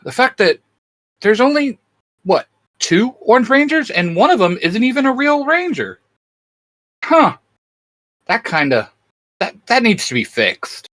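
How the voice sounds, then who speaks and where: A man's voice: very high voice, very animated, a little slow, long pauses, sharp tone, dry recording. A young man hosting a YouTube channel in casual, slangy chat.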